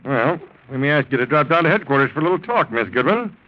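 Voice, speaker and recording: A male actor playing a probing, deceptively polite police lieutenant in a 1940s radio drama, asking questions in a measured, hesitant way. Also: a little high, lively intonation, natural voice. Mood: probing, official. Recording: dull old 1940s radio recording.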